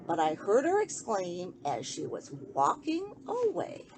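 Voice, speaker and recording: A woman reading a rhyming children's story aloud in an expressive, sing-song way, speaking directly to young listeners. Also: mid-range voice, a little slow, noisy background.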